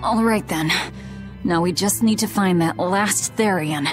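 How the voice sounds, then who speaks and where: A woman's voice: mid-range voice, measured pace, faint music behind, close to an everyday voice. A woman playing a blunt, driven young female fighter in a video game, curt and stern. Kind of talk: English game voice acting.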